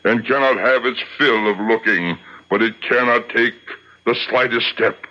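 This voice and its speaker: An actor playing a revered old rabbi and exorcist, speaking in a measured, incantatory 1970s radio drama style. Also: male voice, mid-range voice, lightly acted. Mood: solemn, incantatory. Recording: dull, muffled old radio broadcast.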